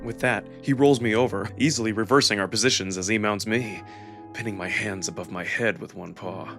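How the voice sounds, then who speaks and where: A man with a low voice narrates a visual novel in the first person. His storytelling is intimate and expressive, with music playing underneath. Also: a little fast.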